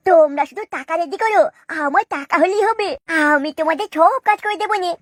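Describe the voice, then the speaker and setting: A woman's voice: very high-pitched, a little fast, fairly bright tone, no room sound, studio quality. A woman voicing a cheeky, haggling, money-minded young boy in a comic cartoon. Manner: pert, haggling.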